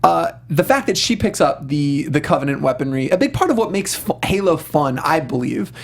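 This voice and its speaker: Higher-voiced man, enthusiastic show host. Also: very animated, moderate pace. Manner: enthusiastic, agreeable, gushing. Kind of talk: casual panel discussion of video game films.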